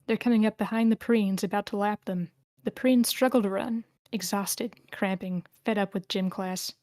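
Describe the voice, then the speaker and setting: A woman's voice: medium pitch, fairly even intonation, normal speed, a little mellow, dry recording. A woman reading stage directions as narrator, in scripted narration at a screenplay table read.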